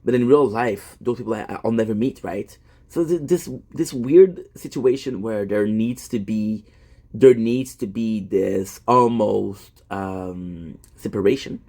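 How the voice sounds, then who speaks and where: A man's voice: fairly low pitch, a little slow, warm tone. A man in his thirties, a gaming content creator, talking in a rambling, self-deprecating monologue full of fillers and restarts. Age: 30s.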